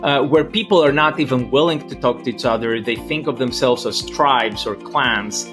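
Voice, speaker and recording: A man from Greece with a Greek accent answers interview questions as a professor, thoughtful and halting as he searches for words. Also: medium pitch, over music. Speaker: interview guest, political science professor.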